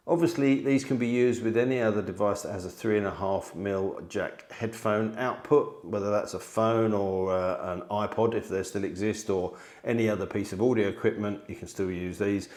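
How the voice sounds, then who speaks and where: A man in his forties with a fairly low voice talks through a product for viewers. His delivery is conversational and explanatory. He is recorded indoors on a close mic. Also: darkish tone. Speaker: product presenter. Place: indoor studio, seated at a table.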